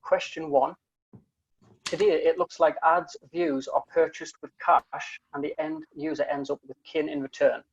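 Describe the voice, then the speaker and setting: A young man with a broad British accent asks community questions over a video call. His voice sits a little high, with a gravelly fry, and the call audio sounds dull and lo-fi. He speaks in a friendly way, with frequent ums. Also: a little slow. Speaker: community council member asking questions. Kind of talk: casual video call interview. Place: small room at home. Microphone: earphone headset on video call.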